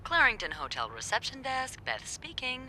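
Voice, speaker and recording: An actress playing a sardonic, playful receptionist in a 1950s hotel mystery game, with a wry, teasing, conspiratorial manner. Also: female voice, high-pitched, huge pitch swings, moderate pace, very noisy. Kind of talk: video game cutscene acting.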